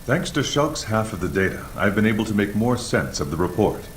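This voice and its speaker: A man voicing a serious, methodical analyst in a video game, speaking formally and deliberately. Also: on the low side, big pitch swings, slight background noise. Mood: grave, composed. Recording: echoey sound with faint music behind.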